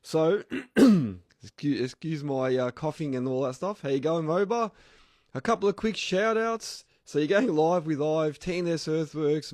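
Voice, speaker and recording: A man with an Australian accent hosting a live podcast, his voice croaky and hoarse from illness, chatting in a rambling way and thanking listeners by name. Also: on the high side, darkish tone, no room sound, clean studio sound. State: hoarse, sick voice. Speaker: podcast host.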